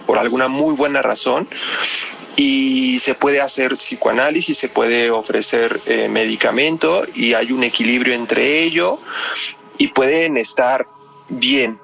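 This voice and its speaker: Gravelly-voiced Mexican man explaining. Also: mid-range voice, a bit bright. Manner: constantly checks with tag questions. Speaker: psychologist guest. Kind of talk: conversational explanation in a podcast interview.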